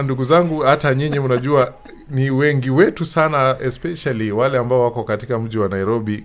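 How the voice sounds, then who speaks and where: A man with a Kenyan accent hosting an interview in a conversational way, often repeating himself as he searches for words. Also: medium pitch. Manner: halting, searching for words with repetitions. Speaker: show host. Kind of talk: conversational interview hosting, mixing Swahili and English.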